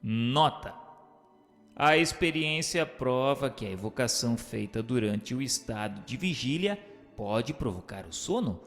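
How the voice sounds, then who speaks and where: A man reads questions and notes as scripted radio drama narration, in a formal, deliberate manner. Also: mid-range voice, animated, fairly bright tone. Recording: long reverberant hall sound. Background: music.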